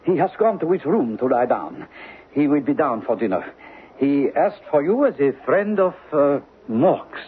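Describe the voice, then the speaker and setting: High-voiced, obliging hotel concierge. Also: male voice, big pitch swings, normal speed, a little hiss or hum. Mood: confiding. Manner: courteous, deferential, hesitant. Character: obliging, watchful male Amsterdam hotel concierge. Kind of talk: old-time radio drama acting. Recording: tinny, narrow-band radio broadcast.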